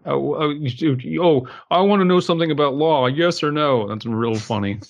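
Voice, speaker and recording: A man's voice: a little high, moderate pace, gravelly, highs cut off. A man chatting casually on a podcast panel in a dry, sardonic way. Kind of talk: casual chat.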